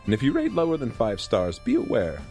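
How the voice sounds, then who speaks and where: A man's voice: mid-range voice, huge pitch swings, a little fast. A man narrating a comic fantasy drama from a script in a mock-dramatic manner. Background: loud music.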